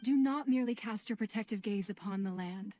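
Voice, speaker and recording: A woman voicing a dignified, calmly authoritative leader in a video game, formal and diplomatic. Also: mid-range voice, slight background noise, lightly acted. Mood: firm, diplomatic. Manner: formal, measured diplomatic phrasing. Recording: game music under the voice.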